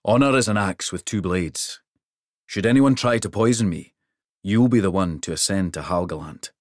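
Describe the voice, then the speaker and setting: A man's voice: deep voice, moderate pace, dry recording, clean studio sound, natural voice. A man voicing a jovial, towering king who speaks in a hearty, commanding way. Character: booming jovial giant of a king.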